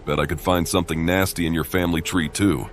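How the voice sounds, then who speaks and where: An actor playing a calm, principled paladin adventurer in a fantasy game, speaking plainly and in a measured way. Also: male voice, low voice, soft background music. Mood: calm, firm.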